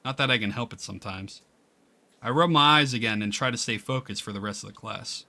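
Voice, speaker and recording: Man playing a high school boy, sleepy, flustered, hesitant, bright voice, visual novel. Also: a little fast, vocal fry, heavily acted. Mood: subdued, embarrassed. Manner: hesitant, self-conscious. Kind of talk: visual novel read-aloud.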